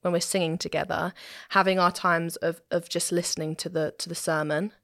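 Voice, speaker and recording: A woman's voice: on the low side, flat delivery, a little fast, fairly bright tone, no echo, clean studio sound. A woman speaking conversationally as an interview guest, explaining things in a thoughtful way. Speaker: interview guest, church musician and singer.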